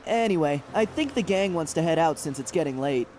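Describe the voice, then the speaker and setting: A man's voice: a little high, animated, fairly quick, darkish tone, slight background noise, lightly acted, poor audio. A man playing a cheerful, friendly, oblivious young guy in an anime-style game, speaking in a breezy, casual way. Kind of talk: anime-style visual novel game acting.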